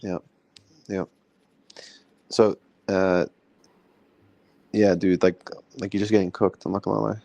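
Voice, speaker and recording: A man's voice: low-pitched, little pitch movement, measured pace, vocal fry, long pauses, dark tone, no echo. A man from Lebanon in an informal religious debate, explaining his argument and often checking with the listener. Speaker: debate panelist.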